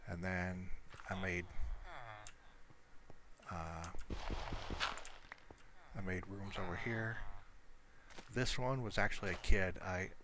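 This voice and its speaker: A man giving casual, rambling commentary over his own video game play, speaking off the cuff. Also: sits low. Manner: rambling, unscripted asides. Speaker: gamer narrating own gameplay. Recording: clearly noisy home recording.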